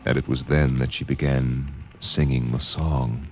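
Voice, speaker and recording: A man narrating a literary radio drama in a measured, evocative storytelling manner. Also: a bass voice, level tone. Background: loud music and effects.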